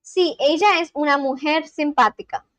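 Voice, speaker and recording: A young woman with a Delhi Indian English accent, chatting casually with her livestream viewers, reading their comments aloud with quick apologetic asides. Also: high voice, fairly bright tone, no room sound. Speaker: live streamer.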